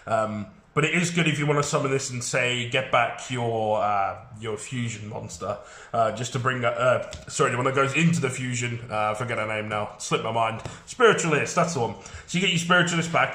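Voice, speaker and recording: A man's voice: medium pitch, sharp tone, reverberant. A man with a head cold, sounding congested, chatting casually through a card game deck with frequent fillers.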